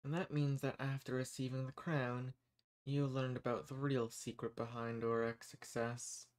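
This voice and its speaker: A man voicing an arrogant, menacing archdemon in a dramatic audio roleplay, speaking in a condescending, theatrical, unhurried manner. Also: mid-range voice, little pitch movement, lightly acted. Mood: haughty. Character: arrogant, menacing male archdemon who strikes bargains.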